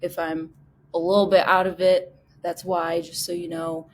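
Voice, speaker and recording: A woman's voice: fairly low pitch, flat delivery, moderate pace, a little hiss or hum. A woman hosting a podcast, talking in a chatty, rambling way and sounding nasal from a cold. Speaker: podcast host. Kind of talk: casual podcast interview.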